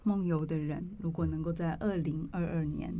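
A woman hosting an interview in a measured, earnest, reflective way. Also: low voice, fairly even intonation, warm tone. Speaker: interview host.

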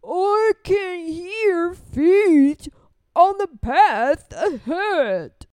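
A woman voicing a big, menacing but gullible monster in a storybook, with a slow, heavy, loud delivery. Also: very high-pitched, animated, slight background noise, voice pushed up, exaggerated voice. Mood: wary. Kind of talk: storybook character voice.

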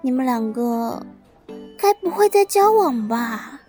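A woman's voice: high-pitched, lively intonation, takes it slowly, dark tone, soft background music. A woman playing a mocking schoolgirl bully in a radio drama, with a taunting, sneering delivery. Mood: teasing. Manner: taunting, sing-song sneering.

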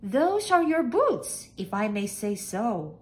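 A woman's voice: on the high side, sing-song, slow pace, a little mellow. A woman voicing an eager, polite, plucky housekeeper in a storybook, with a chirpy manner. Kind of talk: storybook character acting.

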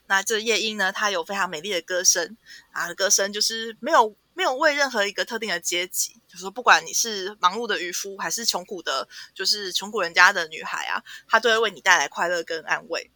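A woman with a Taiwanese Mandarin accent hosting a podcast, casually retelling a story with animated, playful asides. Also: medium pitch, brisk, dry recording. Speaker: podcast host. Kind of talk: casual storytelling and book chat.

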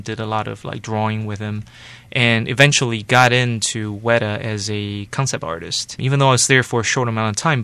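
A man from Taiwan in his forties, an animation studio director, giving steady, explanatory answers in an interview. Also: medium pitch, vocal fry, smooth. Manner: explanatory, steady, loose with "like" and "you know" fillers.

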